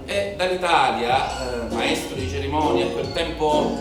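Guy doing an ironic theatre monologue, declaiming it, loud music behind, super echoey room. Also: medium pitch, a little slow, distant mic. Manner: declamatory, incantatory repetition; ironic, satirical. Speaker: stage actor and storyteller.

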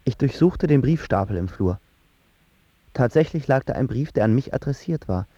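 A man's voice: medium pitch, animated, moderate pace, warm tone, breathy edge, no echo, muffled recording. An actor playing a moody, lovesick young writer who tells his story in a radio drama. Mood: subdued, reflective. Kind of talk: radio drama acting, first-person narration. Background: guitar music.

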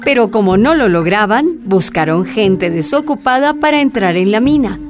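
A woman's voice: medium pitch, animated, moderate pace, over music, lightly acted. A woman narrating a scripted radio drama as an earnest storyteller.

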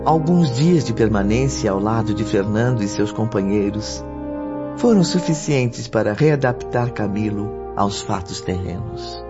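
A man's voice: on the low side, lively intonation, measured pace. An actor as the solemn male storyteller of a radio serial, reading scripted dramatic narration. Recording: muffled audio under prominent music.

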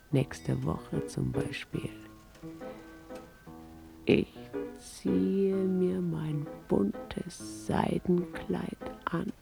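An actress playing a sultry, teasing mistress in a radio drama, languid and domineering, with a creaky voice that sinks toward a whisper. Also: female voice, lowish voice, full character voice. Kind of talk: intimate radio drama acting. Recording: guitar music behind the voice.

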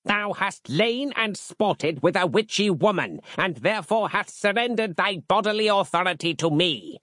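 A man's voice: sits high, lively intonation, normal speed, fairly bright tone, no room sound, studio quality, voice pushed up. A man voicing a stern, pious seventeenth-century ancestor spirit in a storybook, with an archaic, commanding, sermonizing manner. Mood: imperious.

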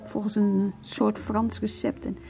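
Weary, creaky-voiced hurt wife. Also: female voice, mid-range voice, little pitch movement, a little slow, mellow. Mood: hurt, reflective. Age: 40s. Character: weary, hurt wife past forty confiding marriage troubles. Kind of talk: Dutch radio play acting. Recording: dull lo-fi radio play recording, music.

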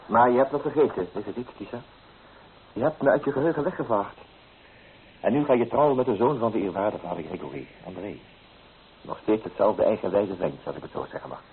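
A man's voice: fairly low pitch, fairly quick, a little hiss or hum, close to an everyday voice. Tinny radio-play recording, actor as sardonic flirtatious man. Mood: sardonic, reproachful. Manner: teasing, theatrical, persuasive.

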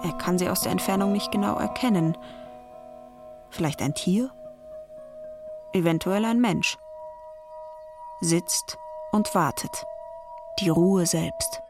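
A woman narrating an audio drama in a deliberate, measured storytelling manner. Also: lowish voice, music in the background.

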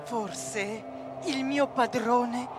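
Gossipy servant, high pitch, slow musing, expressive, radio play with music behind. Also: female voice. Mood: wondering, amused. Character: gossipy, wondering servant of a rich scholar. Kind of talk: theatrical radio drama acting.

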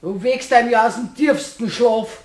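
An actress playing an exasperated, bossy wife with a Bavarian accent, scolding sharply in a German radio play. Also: female voice, a little high, moderate pace, a little mellow, roomy sound, natural voice. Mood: annoyed.

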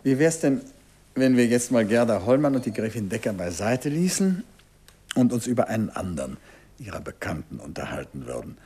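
An actor playing a courteous, persistent middle-aged detective in a 1950s German radio drama, questioning softly and insistently with a husky, gravelly voice. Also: male voice, mid-range voice, very animated, normal speed. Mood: gently persuasive.

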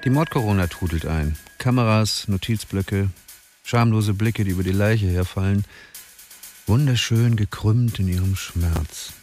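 Deep man, measured crime narration, dark tone, soft music behind.

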